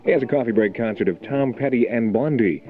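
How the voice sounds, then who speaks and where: A man's voice: medium pitch, fast talker. A man hosting a morning rock radio show, talking in a laid-back, conversational DJ style through announcements. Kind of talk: album rock radio DJ patter with local announcements. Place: radio studio. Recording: muffled phone-like 1980 radio aircheck, music behind. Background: music.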